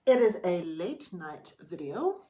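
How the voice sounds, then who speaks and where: A woman's voice: fairly low pitch, sing-song, a little slow, mellow. A middle-aged woman talking candidly to camera in a casual late-night vlog, chatty and a little theatrical. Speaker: vlogger.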